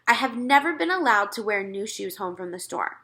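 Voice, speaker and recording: A woman reads a children's story aloud as narrator. Her voice is bright, with lively, wide-ranging intonation and an expressive delivery. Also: mid-range voice, a little fast.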